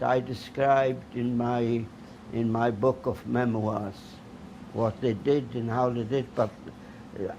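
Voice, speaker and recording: Slow, halting elderly man from Poland. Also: mid-range voice, gravelly, mellow, dull audio, slight background noise. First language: Polish. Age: older. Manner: halting, many fillers and restarts. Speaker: Holocaust survivor giving testimony. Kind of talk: oral history testimony.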